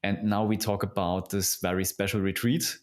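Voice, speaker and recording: A man's voice: lowish voice, flat delivery, normal speed. A man whose first language is German hosting a podcast in English with simple, repetitive phrasing. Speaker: podcast host. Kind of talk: podcast intro and interview questions.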